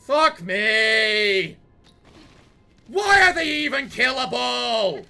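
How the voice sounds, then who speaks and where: Man, let's play host, hoarse from yelling, high and animated, prone to sweary rants. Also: extremely slow, faint music behind. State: strained voice from yelling. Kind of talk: gaming commentary.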